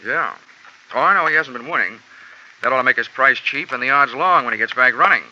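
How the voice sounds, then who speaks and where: A man's voice: medium pitch, lively intonation, fairly quick, pushed and bright. An actor playing a wisecracking male insurance investigator who also narrates, dry and matter-of-fact. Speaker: narrator and lead. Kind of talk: 1950s radio drama acting and narration. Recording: lo-fi old radio broadcast with music.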